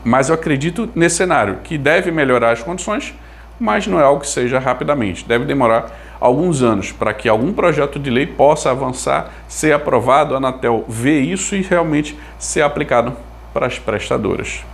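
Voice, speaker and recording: A man's voice: mid-range voice, lively intonation, moderate pace, gravelly. A Brazilian man giving an informal explanation to viewers, thinking aloud in a conversational way with rhetorical questions. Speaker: video creator explaining consumer telecom topics.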